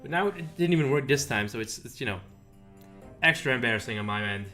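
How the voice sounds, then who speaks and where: Male game streamer, animated and slightly high, casual, sweary and self-mocking, faint music behind. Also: fast. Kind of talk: casual livestream chat while playing.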